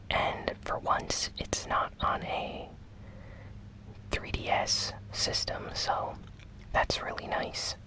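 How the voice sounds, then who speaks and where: Slow whispered ASMR news host. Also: low-quality recording. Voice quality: breathy, unvoiced whisper. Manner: slow, gentle, deliberate delivery with short phrases. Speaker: host of a gaming news show.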